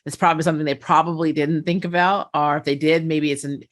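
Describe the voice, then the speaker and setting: A woman's voice: low voice, fairly even intonation, quick pace. A woman chatting casually and animatedly as she hosts a discussion reviewing a TV show.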